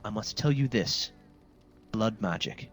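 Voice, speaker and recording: A man's voice: lowish voice, lively intonation, a little slow, faint music behind. An actor playing an earnest, guilt-ridden male companion in a fantasy game, with a reproachful manner. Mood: reproachful, troubled.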